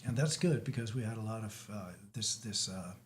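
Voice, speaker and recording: A man from Denmark, not a native speaker, chatting as a guest and pausing often to search for words. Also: lowish voice, slight background noise. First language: Danish. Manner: halting, frequent restarts, searching for words. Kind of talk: casual chat.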